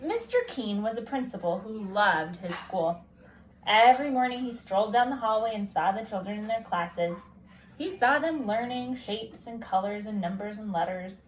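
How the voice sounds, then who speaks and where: A woman's voice: mid-range voice, moderate pace, a little hiss or hum, low-quality recording. A woman narrating a children's storybook aloud expressively, like a teacher reading to children at home. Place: at a kitchen table at home.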